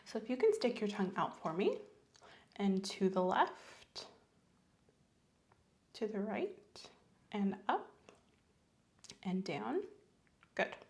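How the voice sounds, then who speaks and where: A woman doing an ASMR doctor roleplay, soft-spoken, gentle and instructive, often slipping into whispers. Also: medium pitch, sing-song, takes it slowly, long pauses. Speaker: ASMR performer role-playing a doctor.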